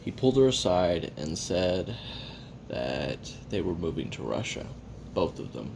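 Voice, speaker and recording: A man's voice: very animated, unhurried, vocal fry, darkish tone, heavily acted. A man voicing a jaded, hard-drinking assassin recording his memoirs in a wry, profane, self-deprecating, rambling confession for an audio roleplay. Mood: subdued, reflective. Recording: audible hiss and low rumble.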